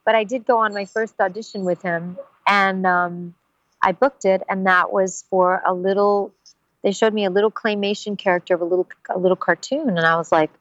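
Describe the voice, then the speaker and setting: Woman voice actress, middle-aged, animated chat, dry close sound, muffled lo-fi. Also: medium pitch, fairly quick, no room sound. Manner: animated, slips into character voices. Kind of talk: casual interview talk.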